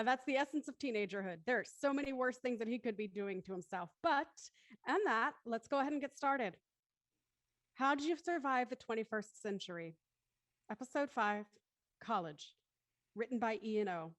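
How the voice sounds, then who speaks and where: Higher-pitched woman narrator, dry close sound. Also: lively intonation, moderate pace, long pauses, no echo. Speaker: narrator reading stage directions. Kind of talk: scripted narration with casual host chat.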